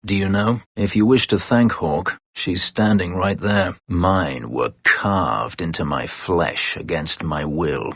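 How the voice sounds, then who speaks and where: An actor playing a proud, bitter former slave warrior in a video game, speaking tersely and formally in a husky, gravelly voice. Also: male voice, sits low, slow pace, few pauses, dry recording, studio quality, heavily acted. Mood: somber, guarded. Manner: terse, formal, brooding delivery. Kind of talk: video game character dialogue.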